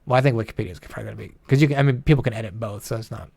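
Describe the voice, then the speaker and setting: A man's voice: lowish voice, rapid-fire, vocal fry, a little mellow, breathy edge, no echo. A man co-hosting a gaming show in casual chat, joking and swearing in an informal way. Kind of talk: casual chat, reacting to old film trailers.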